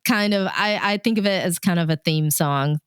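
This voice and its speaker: A woman with a fairly low, exceptionally smooth and clean voice talks like a radio DJ being interviewed. She is casual and enthusiastic, with loose conversational phrasing, in a dry, close recording. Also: moderate pace, no echo. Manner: informal, enthusiastic, peppered with like and you know. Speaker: radio DJ and guest.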